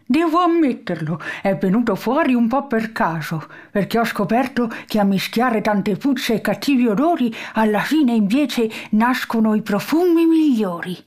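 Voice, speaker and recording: A woman's voice: on the high side, lively intonation, normal speed, few pauses, a little mellow. A woman voicing an eccentric, boisterous old toothless man who lives in a junkyard, in a storybook reading. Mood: wistful. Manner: boisterous, rhyming, exclaiming.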